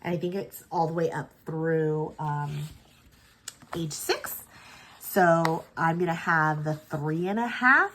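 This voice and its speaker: Low-voiced chatty woman with a stuffy nose. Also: slow, noisy background. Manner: chatty, frequent ums and self-corrections. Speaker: planner sticker channel host. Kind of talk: casual planning vlog chat.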